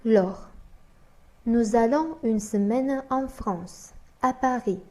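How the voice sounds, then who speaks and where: Slow, mellow-voiced woman reading dialogues. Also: a little high. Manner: slow, deliberate, reads both parts and announces each speaker. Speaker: narrator reading language-learning dialogues.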